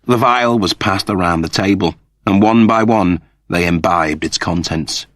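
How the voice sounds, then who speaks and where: A man reading a story as an audiobook narrator, in an expressive scripted style. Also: deep voice, a little slow, no room sound, studio quality.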